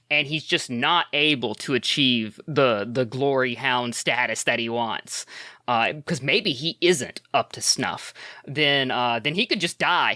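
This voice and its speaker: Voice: medium pitch, pushed and bright, no echo, clean studio sound. A player in a tabletop game chatting casually, thinking aloud with many fillers and laughs. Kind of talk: casual chat while playing a tabletop game.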